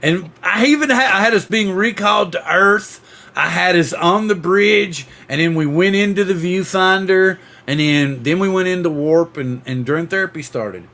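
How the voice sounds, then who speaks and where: A man's voice: high-pitched, normal speed, vocal fry, bright, forceful tone. A middle-aged man hosting a casual podcast chat, rambling and jokey, laughing often. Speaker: podcast host. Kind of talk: casual chat on a livestream panel.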